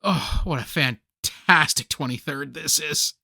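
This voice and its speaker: An actor playing a grateful, earnest party host who talks as if making a speech in a radio play. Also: male voice, on the high side, a little slow, creaky, sharp tone, breathy edge, no room sound, studio quality, heavily acted. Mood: grateful, excited.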